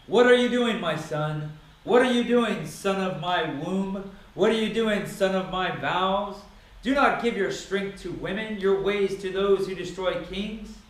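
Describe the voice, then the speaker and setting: A man with a high-pitched voice reads scripture aloud in a dramatic style. His delivery is solemn and declamatory, with each phrase set out deliberately. The recording is drenched in echo. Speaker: Bible reader. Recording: very echoey, reverberant sound.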